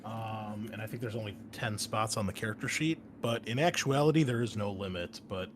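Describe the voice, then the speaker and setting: A man's voice: lowish voice, a little fast, slight background noise. Upbeat man hosting a game podcast. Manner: upbeat, swings loud on key words. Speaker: host and game master.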